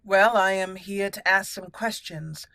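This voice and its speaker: Woman acting a composed elf mage, measured and bright. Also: mid-range voice, creaky, no room sound. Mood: composed, questioning. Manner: measured, formal, deliberate. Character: composed, formal young female elf mage adventurer.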